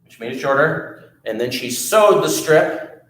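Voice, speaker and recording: A man telling a story at a children's storytime, animated, adding sound effects and asking the children questions. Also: on the high side, unhurried, roomy sound, rough recording. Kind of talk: children's storytime read-aloud.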